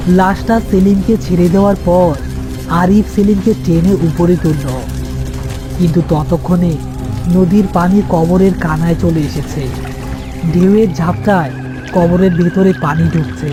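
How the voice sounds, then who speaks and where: A male narrator reads a scripted horror tale. His voice is quite high for a man, and music plays clearly behind it throughout. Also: steady tone, measured pace, poor audio.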